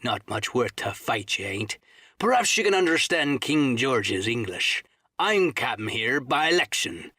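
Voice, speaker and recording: A man voicing a cunning, commanding old pirate sea cook who drawls threats in rough seafaring dialect, as in an audiobook. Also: medium pitch, sing-song, a little slow, sharp tone, no echo, clean studio sound, heavily acted. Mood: roaring defiance. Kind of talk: dramatised audiobook reading.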